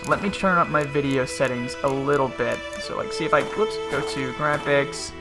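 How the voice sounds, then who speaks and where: A man's voice: medium pitch, moderate pace. A man giving casual, rambling let's-play commentary and often interrupting himself. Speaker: gaming video host. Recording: game music behind the voice. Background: video game music and sounds.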